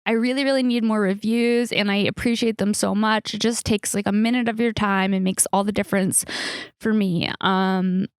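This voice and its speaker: A woman in her thirties with a creaky voice, chatting casually and candidly as a comedic podcast host, rambling and poking fun at herself. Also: medium pitch, fairly even intonation, moderate pace, dry recording, clean studio sound. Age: 30s. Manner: rambling, candid, self-mocking, casual slangy talk. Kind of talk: casual solo podcast chat, comedic storytelling.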